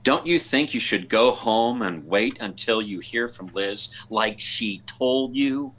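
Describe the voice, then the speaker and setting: A man's voice: medium pitch, slow pace, fairly bright tone. A man playing the dry, sensible best friend in an old-time radio comedy. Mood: exasperated, sensible.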